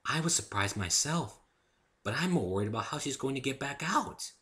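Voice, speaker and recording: A man voicing a shy, gentle young female winged pony, timid and hesitant, in a story reading. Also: medium pitch, lively intonation, moderate pace, pitched above the performer's own voice, lightly acted. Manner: timid, hesitant, soft-spoken.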